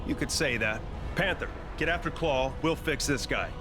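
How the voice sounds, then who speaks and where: An actor playing a confident, upbeat superhero team leader with a breezy, commanding manner in a video game cutscene. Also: male voice, mid-range voice, normal speed, over loud music, lightly acted. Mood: defiant.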